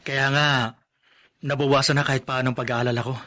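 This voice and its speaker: An actor plays a young husband in a radio drama. He has a medium-pitched voice and sounds protective and firm, with worry underneath. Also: level tone, a little fast, bright, forceful tone, lightly acted. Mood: relieved.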